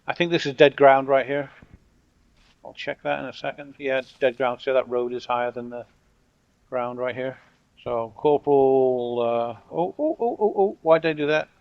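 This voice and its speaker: Gameplay host, middle-aged guy with a muddled British-American accent, dry and joking, mid-pitched, dull lo-fi sound. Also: normal speed, gravelly, no echo. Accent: mixed British and American. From Britain. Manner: relaxed, wry, talks to his troops jokingly. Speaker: gameplay commentator. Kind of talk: casual strategy game commentary. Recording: dull lo-fi audio.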